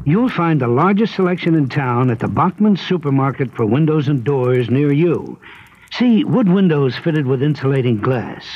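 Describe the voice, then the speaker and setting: Husky, animated male radio ad announcer. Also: on the high side, moderate pace. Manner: salesmanlike. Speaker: commercial announcer. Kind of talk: scripted 1970s radio advertisement. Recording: dull vintage radio broadcast.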